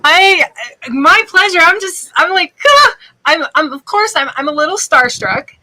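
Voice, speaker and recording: A woman hosting a casual interview show from her bed on a laptop, excitable and gushing, often stumbling over restarts. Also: very high-pitched, measured pace, poor audio. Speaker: talk show host. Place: bedroom.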